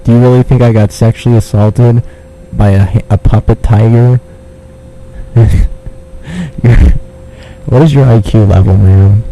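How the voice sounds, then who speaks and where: A man's voice: medium pitch, measured pace, vocal fry, long pauses, dark tone. A man making a prank phone call, talking in a crude, taunting way and swearing, over a noisy phone line. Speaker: prank caller.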